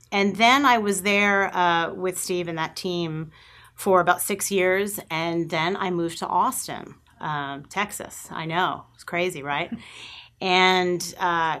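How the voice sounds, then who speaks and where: A middle-aged woman with a Long Island New York accent and a notably low voice. She speaks as an agency president in a studio interview, telling lively anecdotes and acting out bits of old conversations. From New York, USA. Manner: lively anecdotes, acts out remembered dialogue. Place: podcast studio.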